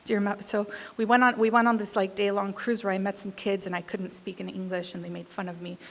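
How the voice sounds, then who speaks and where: A woman's voice: mid-range voice, little pitch movement, fast talker, creaky. A woman from Costa Rica with an American accent, in her thirties, giving a candid, conversational speech at an awards event, with some emphatic punches. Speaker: award speaker. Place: large hall. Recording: reverberant hall.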